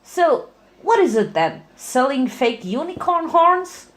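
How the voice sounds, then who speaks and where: A woman's voice: high voice, very animated, slow pace, voice pushed up, heavily acted. A woman voicing a plain-spoken, practical young female farm pony with folksy phrasing. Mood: startled.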